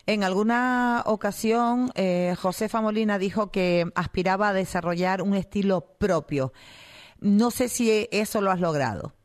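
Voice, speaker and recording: A woman's voice: medium pitch, a little slow. A woman radio interviewer, prompting her guest with short questions.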